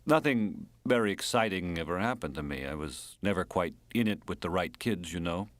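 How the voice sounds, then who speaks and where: A man's voice: sits low, expressive, moderate pace, no echo. A man reading an audiobook as an earthy, wry American man reminiscing, speaking in clipped colloquial phrases with an American accent.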